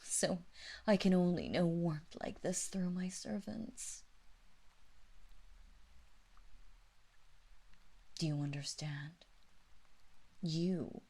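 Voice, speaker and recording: A woman voicing a cruel, seductive vampire mistress in an intimate audio roleplay, teasing and menacing the listener in a breathy, taunting way that drifts into whispers. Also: fairly low pitch, takes it slowly, mellow, exaggerated voice. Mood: sultry, possessive.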